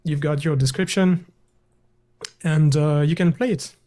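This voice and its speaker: A man, a guest developer, walking through a screen-share software demo over a video call, hesitant with many fillers and restarts. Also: on the high side, fairly even intonation, measured pace.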